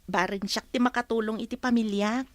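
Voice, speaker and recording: A woman's voice: on the high side, fairly even intonation, fairly quick, dry recording, lightly acted. A woman with a Filipino accent playing a dutiful, sympathetic young daughter in a radio drama. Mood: earnest, concerned. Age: young adult. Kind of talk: Ilocano radio drama acting.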